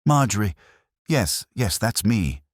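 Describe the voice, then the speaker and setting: A man's voice: lowish voice, big pitch swings, takes it slowly, no room sound, studio quality, pitched above the performer's own voice, heavily acted. A man voicing a frightened, bewildered young actress in an audiobook, hesitant and questioning.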